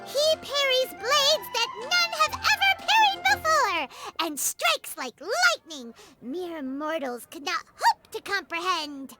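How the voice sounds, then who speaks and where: Childlike fairy girl, squeaky, very animated, excitable, anime game, loud music behind. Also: slow, few pauses, exaggerated voice. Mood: excited. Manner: refers to self in third person. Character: tiny chirpy girl fairy guide, childlike, bossy and excitable. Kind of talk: anime video game voice acting. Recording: background music throughout.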